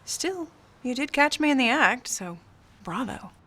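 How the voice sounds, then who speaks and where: An actress playing a cunning, sardonic 1940s socialite film star in a noir radio play, with haughty, formal diction. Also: female voice, sits high, lively intonation, measured pace, a bit bright, husky, full character voice. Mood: coolly amused.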